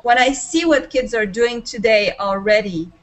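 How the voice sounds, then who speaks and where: A woman's voice: on the high side, normal speed. Serbian-accented woman on a video call. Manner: enthusiastic, gestures with both hands. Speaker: panelist in a video call talk. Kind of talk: earnest spontaneous talk. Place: small room at home, indoors. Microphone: webcam on a video call.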